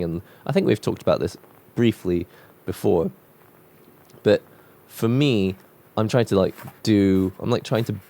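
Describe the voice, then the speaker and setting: A man with a cold-croaked voice talking casually and reflectively while drawing, musing with loose restarts. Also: low-pitched, warm tone. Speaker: art vlogger drawing on camera.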